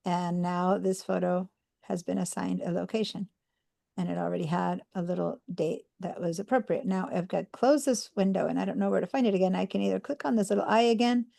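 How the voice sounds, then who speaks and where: A woman's voice: lowish voice, steady tone, fairly quick, vocal fry, warm tone. A woman from Argentina teaching an online computer class, patiently talking students through each step and checking in with them. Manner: patient, step-by-step explaining with fillers. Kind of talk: live online class demonstration.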